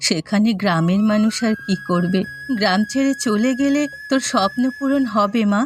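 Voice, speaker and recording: A woman's voice: mid-range voice, fairly even intonation, moderate pace, close to an everyday voice. Woman acting a tender, long-suffering village mother. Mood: sorrowful, consoling. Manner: tender, consoling. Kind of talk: Bengali fairy-tale cartoon voice acting. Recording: music under the voice.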